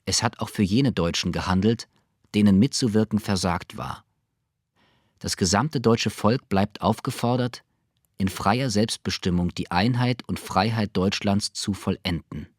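A man's voice: fairly low pitch, measured pace, dry recording, clean studio sound. A man formally reading an official historical document in a solemn, scripted manner.

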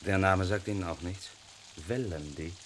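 An actor playing a polite but irritated lawyer in a vintage radio crime play, speaking formally with dry sarcasm. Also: male voice, low voice, moderate pace, background noise, close to an everyday voice. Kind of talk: 1950s-80s radio crime drama.

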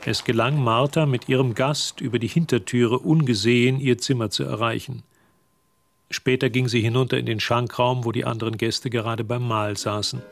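An actor narrating a radio drama as a measured storyteller of a mystery tale. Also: male voice, mid-range voice, steady tone, creaky, slight background noise, natural voice. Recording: music behind the voice.

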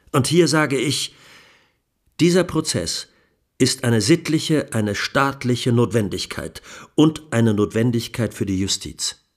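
A man playing a grave, indignant 1920s German defence lawyer, pleading with solemn, emphatic rhetoric in a radio documentary. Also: mid-range voice. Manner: solemn, rhetorical, emphatic oratory with deliberate pauses. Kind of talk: dramatized courtroom closing speech, radio documentary.

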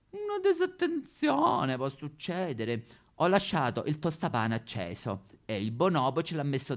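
Lonely, melodramatic Roman woman played by a man, stage comedy monologue, histrionic delivery with extremely animated, swooping pitch. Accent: Roman Italian. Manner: histrionic, repetitive, swinging between whispers and wailing. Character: lonely, melodramatic woman recounting absurd pet disasters. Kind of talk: theatrical comic monologue.

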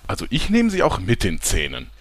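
Casting: man doing giant drag-queen beast, raspy growl, swooping and cheeky, radio comedy. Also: mid-range voice, huge pitch swings, fairly quick, full character voice. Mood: plaintive. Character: huge three-metre drag-queen monster, plaintive, cheeky. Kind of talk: comedy audio drama acting.

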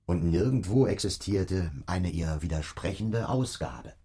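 An actor playing a brooding office worker in a dystopian radio drama, musing haltingly in a hushed voice. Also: male voice, sits low, animated. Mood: brooding, bitter. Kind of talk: radio drama inner monologue. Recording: audible background noise and ambience.

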